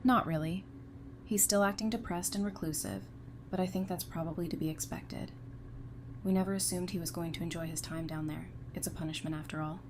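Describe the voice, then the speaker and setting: A woman acting as a devoted, stubborn survivor in an audio drama. Her voice sits on the low side and she talks informally and frankly. There is some noise behind her. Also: steady tone, a little fast, darkish tone, lightly acted. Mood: resigned.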